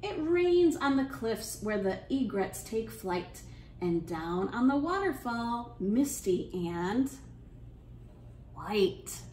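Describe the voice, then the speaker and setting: A woman hosting an interactive children's storytime, speaking in an encouraging, sing-song way and pausing with questions for young listeners. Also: fairly high pitch, very animated, a little mellow, echoey room, a little hiss or hum. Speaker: children's storytime librarian and host. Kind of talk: interactive children's storytime, reading aloud and leading songs.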